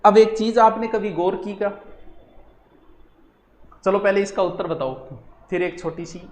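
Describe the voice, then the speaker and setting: A man's voice: sits high, measured pace, a little mellow, a little hiss or hum. A man with a Rajasthani accent giving an informal exam-coaching lecture, addressing students directly and slipping into local dialect. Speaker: history teacher for exam coaching. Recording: roomy, echoing sound.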